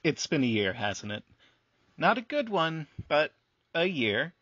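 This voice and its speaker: An actor playing a calm, sympathetic radio host who interviews buildings, speaking in a measured, understated scripted style. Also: male voice, on the high side, husky, dry recording, dull audio, exaggerated voice. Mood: wry, measured.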